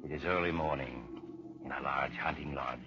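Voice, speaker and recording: A man's voice: a bass voice, normal speed. A man playing a sinister, ominous storyteller narrating a theatrical 1940s radio mystery. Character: sinister, ominous male storyteller of murder tales. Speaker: narrator and host. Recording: dull, lo-fi 1940s radio recording.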